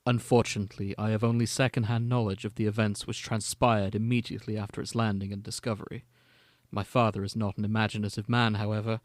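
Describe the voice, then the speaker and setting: A man's voice: lowish voice, no echo. A man co-hosting a podcast, chatting casually about fanfiction and reading passages aloud. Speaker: podcast co-host.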